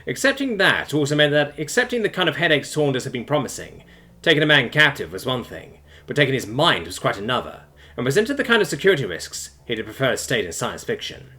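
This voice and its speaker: Man narrating fiction with lively, swooping delivery. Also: medium pitch, fairly quick. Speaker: narrator of online science fiction stories. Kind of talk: expressive scripted audiobook narration.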